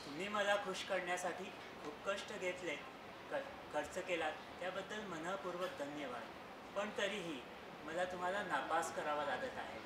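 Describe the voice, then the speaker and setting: A man acting a strict but kindly old grandfather on stage, instructing the family in a measured, admonishing way. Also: sits high, natural voice. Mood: stern, advising. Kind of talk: stage play acting, reading out a letter. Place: theatre stage in an auditorium. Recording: echoey auditorium, distant mic, loud noise.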